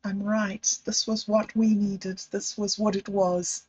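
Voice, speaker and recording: A middle-aged woman hosting a livestream, talking in a halting, reflective way with restarts and apologies. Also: medium pitch, little pitch movement, highs cut off. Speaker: livestream host. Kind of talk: rambling personal livestream talk.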